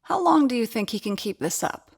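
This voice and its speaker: A woman's voice: on the high side, sing-song, brisk, breathy edge, full character voice. An actress playing a wry woman who teases a friend in a dry way in an audio drama, with music playing behind her.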